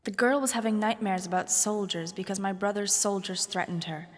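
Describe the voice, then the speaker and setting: A highborn lady played by a woman, troubled yet resolute, with a mid-pitched voice. She speaks earnestly and formally in a fantasy audio drama, in a long chamber reverb. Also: a little fast, natural voice. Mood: troubled, bitter.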